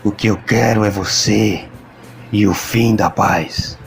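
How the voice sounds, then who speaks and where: Homem fazendo um possuído rouco e ameaçador. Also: male voice, on the low side, fairly even intonation, takes it slowly, soft background music. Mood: menacing. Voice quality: hoarse. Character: menacing possessed man with a doubled, angry, aggressive voice.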